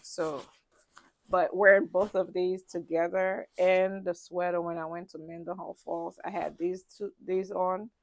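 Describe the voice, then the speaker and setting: A woman sounding stuffy and congested, talking casually to camera in a fairly low voice with a gravelly edge. She rambles, with frequent ums and self-corrections. The sound is close and dry. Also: flat delivery, measured pace, no echo. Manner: chatty, rambling, many ums and restarts. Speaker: travel vlogger. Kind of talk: casual packing chat to camera. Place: ship cabin.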